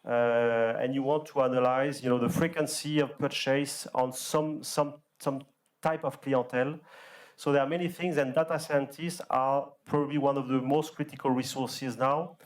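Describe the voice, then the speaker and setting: Male executive for a round-table talk, mid-pitched, emphatic and repetitive. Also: fairly even intonation, normal speed. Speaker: company executive on a panel.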